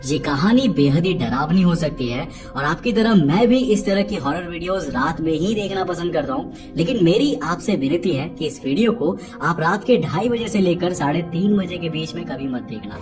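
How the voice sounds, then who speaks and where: Male horror host, scripted narration to viewers, highly varied intonation, slightly distant, prominent music behind. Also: animated, normal speed, hardly any pauses. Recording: distant voice under clear music. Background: clear background music.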